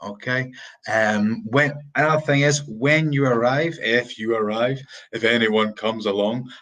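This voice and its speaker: Slow, chatty Scottish man teaching English. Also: medium pitch, steady tone, a bit bright, poor audio. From Scotland. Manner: chatty, rambling, repeats words, demonstrates sounds slowly. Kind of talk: live-streamed pronunciation lesson. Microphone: phone or webcam on a live stream.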